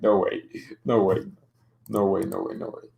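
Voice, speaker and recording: A man's voice: low-pitched, little pitch movement, moderate pace, gravelly, mellow. A young man casually streaming about football, joking around and reading out chat comments. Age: young adult.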